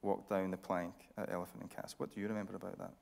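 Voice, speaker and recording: A man interviewing on stage as a presenter, putting questions in a measured journalistic style. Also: sits low, level tone, creaky, darkish tone. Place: radio theatre stage with an audience.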